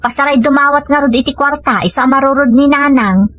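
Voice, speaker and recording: A woman's voice: sits high, brisk, a bit bright, dry recording, studio quality. A Filipino woman acting a hardworking village family woman in an Ilocano audio comedy drama. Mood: earnest, concerned.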